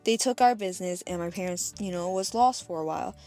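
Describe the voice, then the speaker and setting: A woman's voice: lowish voice, fast, mellow, soft background music. A young American woman from the United States, narrating her childhood in a chatty voice-over and laughing at herself along the way. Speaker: vlogger. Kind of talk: casual storytelling voice-over.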